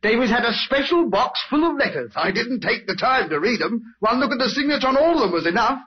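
Husky, eager police sergeant. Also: male voice, extremely high pitch, very animated, quick pace, full character voice. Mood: businesslike. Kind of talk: 1950s radio acting. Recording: dull old radio recording.

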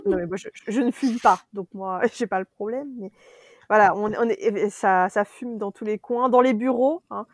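A French woman co-hosting a casual podcast, chatty and animated, laughing often as she reviews a series. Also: mid-range voice, normal speed, no room sound, clean studio sound. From France.

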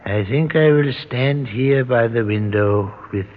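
Man playing a slow, weary gentleman. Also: mid-range voice, natural voice. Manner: hesitant, mild. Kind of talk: 1960s radio drama acting. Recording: dull, faintly noisy 1960s radio recording.

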